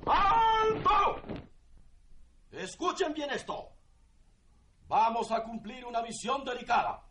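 A man acting authoritarian army officer, very high-pitched voice, barked orders, swooping delivery, radio drama, roomy echo. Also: big pitch swings, slow, pushed and bright, full character voice. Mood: stern, commanding. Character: commanding military officer briefing troops against strikers. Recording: roomy, echoing sound.

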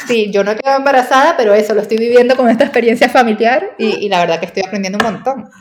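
A woman's voice: fairly high pitch, very fast, a little hiss or hum. A young woman from Venezuela with a Venezuelan Spanish accent, a nutritionist chatting as a podcast guest and peppering her talk with tag questions. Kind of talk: casual interview chat.

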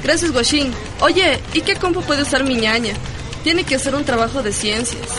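Casting: woman as cool bossy big sister, high voice, casual Ecuadorian slang, radio-serial dialogue over clear music. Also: moderate pace, lightly acted. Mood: breezy, curious. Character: cool, bossy young female rock fan, big sister. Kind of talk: naturalistic radio drama dialogue.

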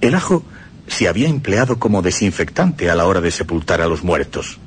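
A man's voice: deep voice, very animated, fairly quick, slight background noise. A man giving emphatic, instructive scripted narration for radio.

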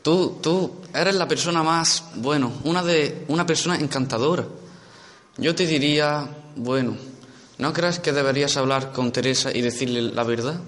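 A young man acting a gentle, loyal teenage boy who pines for his friend, in an amateur radio soap. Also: a little high, normal speed, lightly acted. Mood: tender, stumbling. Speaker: student radio drama actor. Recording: reverberant amateur school recording.

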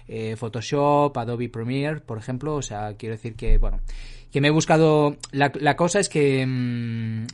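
A Spanish man of thirty with a voice of average pitch. He talks in an animated, jokey manner as a podcast co-host and often fills pauses with "eh". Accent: Spain. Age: 30s. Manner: animated, chatty, joking, fillers. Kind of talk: casual chat.

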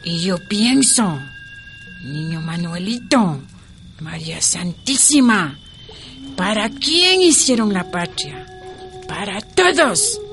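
Frail old Andean woman, slow and tender. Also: medium pitch. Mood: earnest, urging. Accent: Ecuadorian Andean. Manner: slow, affectionate, pleading, full of diminutives. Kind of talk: radio drama acting.

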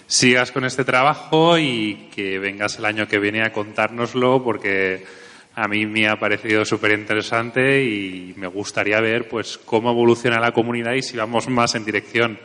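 Bright-voiced man asking from the audience. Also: lowish voice, steady tone, moderate pace. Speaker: audience member. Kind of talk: question from the audience. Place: conference hall. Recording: echoey conference hall.

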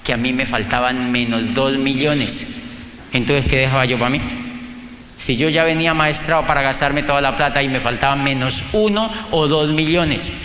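A man's voice: mid-range voice, moderate pace, bright, forceful tone. A middle-aged Colombian man giving an emphatic motivational talk, telling personal stories and putting rhetorical questions to a live audience. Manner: emphatic, asks rhetorical questions, acts out dialogues. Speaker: motivational speaker and business coach. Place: large room or hall before an audience. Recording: echoey hall, faint background noise.

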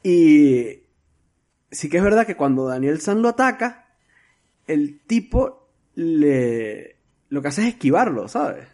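A man's voice: a little high, very animated, slow pace, long pauses, darkish tone. A man, co-hosting a casual film podcast, retelling scenes with slang, cursing and frequent restarts. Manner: storytelling, swearing freely, many restarts. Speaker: podcast co-host.

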